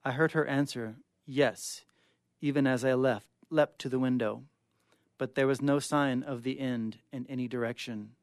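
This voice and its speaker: A man with a clear, smooth voice giving a dramatic reading of short stories as a guest reader. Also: mid-range voice, fairly even intonation, moderate pace, no room sound. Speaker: guest reader of short fiction on a radio show.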